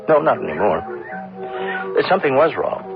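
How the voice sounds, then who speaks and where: An old, muffled radio drama recording with music behind it. a ruined businessman, despairing and self-mocking, his lowish voice swinging widely. He muses haltingly in grand literary phrases. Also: big pitch swings, gravelly. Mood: bitter, brooding.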